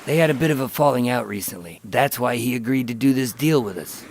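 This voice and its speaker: A man playing a weary, calculating undercover agent, terse and measured, in a noir crime radio drama. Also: medium pitch, background noise, natural voice. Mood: stern, commanding. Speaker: lead actor and narrator. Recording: music under the voice.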